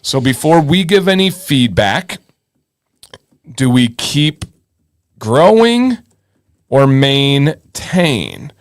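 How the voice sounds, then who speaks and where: A man's voice: mid-range voice, very animated, extremely slow, long pauses, dry recording. Casual, encouraging man hosting a live show. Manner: encouraging, informal, thinks aloud with repeats. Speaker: host of a live beard advice show. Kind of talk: casual live show chat, reading viewer messages and giving advice.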